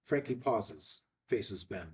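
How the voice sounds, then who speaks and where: A man's voice: fairly low pitch, lively intonation, takes it slowly, far from the mic. A man reading screenplay stage directions as a scripted narrator.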